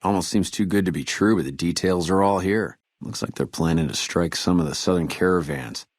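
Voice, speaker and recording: An actor playing a weary, dutiful army trooper in a video game, speaking in a measured, earnest soldierly way. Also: male voice, sits low, dry recording, studio quality. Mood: grave, businesslike. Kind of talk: video game dialogue acting.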